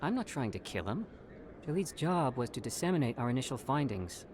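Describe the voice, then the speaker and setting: An actor voicing an eager, evasive alien scientist in a video game, with a persuasive, self-justifying manner. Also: male voice, mid-range voice, sing-song, a little fast, a little mellow, close to an everyday voice. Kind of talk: video game character acting.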